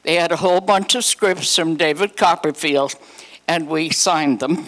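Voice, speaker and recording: Very elderly woman, slow and halting. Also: low-pitched, fairly bright tone, rough recording. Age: 90s. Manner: halting, rambling. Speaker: guest speaker sharing memories. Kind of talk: spontaneous reminiscence. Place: hotel conference room, indoors. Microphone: handheld microphone. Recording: audience noise in conference room.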